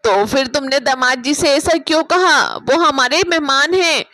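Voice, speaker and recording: A woman's voice: high voice, measured pace, sharp tone, no echo. A woman voicing a firm, kindly older mother-in-law with a motherly manner in a cartoon family story. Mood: reproving. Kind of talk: Hindi cartoon moral story acting.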